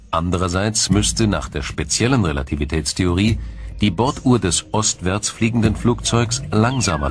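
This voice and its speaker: A man reading scripted documentary narration in a measured, explanatory manner. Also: deep voice.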